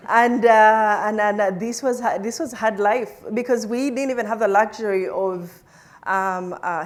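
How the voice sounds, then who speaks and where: A woman from Uganda, a scientist, telling her life story in an interview, with frequent laughs and many restarts. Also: mid-range voice. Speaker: plant scientist guest.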